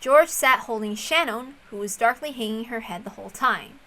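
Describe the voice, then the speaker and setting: Bright-voiced woman reading a story. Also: a little high, normal speed. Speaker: reader of a visual novel. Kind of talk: expressive narration read aloud.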